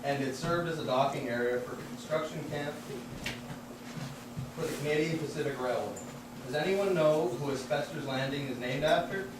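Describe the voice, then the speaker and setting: A man's voice: on the high side, moderate pace, lightly acted. Man as an earnest puppet host, very echoey. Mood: cheerful, teacherly. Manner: teacherly, addressing children with questions. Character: earnest male puppet host who loves town history. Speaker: puppeteer, presenter. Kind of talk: scripted children's puppet show. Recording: very echoey, distant, noisy room.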